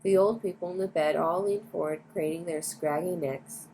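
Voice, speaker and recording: A woman's voice: lowish voice, steady tone, moderate pace, warm tone. Woman reading a bedtime story, noisy background. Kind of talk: bedtime story read aloud. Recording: clear background noise.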